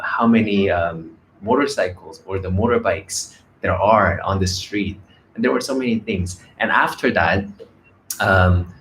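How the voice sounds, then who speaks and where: Soft-spoken man, hesitant vlog. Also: on the low side, slight background noise. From Korea. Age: 30s. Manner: hesitant, many fillers and restarts. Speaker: vlogger. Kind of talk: casual personal vlog. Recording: echoey room with faint ambience.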